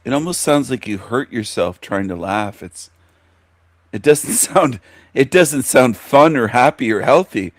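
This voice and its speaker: A man's voice: mid-range voice, lively intonation, a little slow, no room sound, studio quality. Sneering man mocking a rival. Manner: sneering, scornful. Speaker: commentary youtuber. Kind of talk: mocking commentary.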